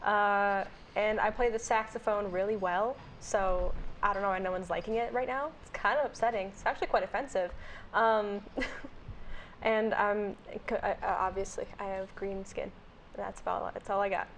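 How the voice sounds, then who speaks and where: A woman's voice: medium pitch, fairly even intonation, normal speed, a little hiss or hum. A woman playing a tabletop game, sassy and playful as she describes her character. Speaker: player.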